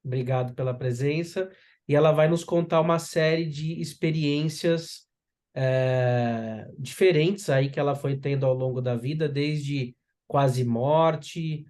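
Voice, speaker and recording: A man's voice: a little high, a little slow, a little mellow. A man hosting an interview, welcoming his guest with frequent filler words. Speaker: host. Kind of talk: interview introduction.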